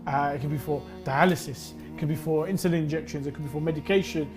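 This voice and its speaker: A man's voice: on the high side, fairly even intonation, fast talker. A man whose first language is Urdu, co-hosting a live charity broadcast and running through donation packages with frequent fillers. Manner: listing items with frequent fillers. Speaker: host. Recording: music in the background.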